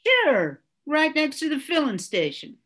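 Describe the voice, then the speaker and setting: A woman's voice: sits high, wildly swooping pitch, unhurried, fairly bright tone, full character voice. An older woman playing a bored, blunt, dry motel day manager in an old-time radio drama.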